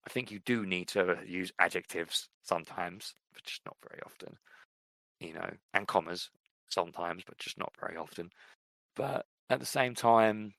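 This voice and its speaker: Male podcast co-host, deep voice, dry close mic, thoughtful and meandering. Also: moderate pace, vocal fry, fairly bright tone, dry recording. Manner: thoughtful, rambling with fillers and restarts. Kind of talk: casual chat about books and fiction.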